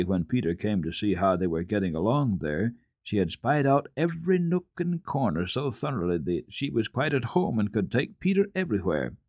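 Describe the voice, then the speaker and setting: A man with a fairly low voice reads a children's story for radio. His delivery is dramatic and lively, rising and falling widely, with deliberate phrasing. The sound is dry and close. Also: no echo. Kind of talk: dramatic reading of classic children's literature.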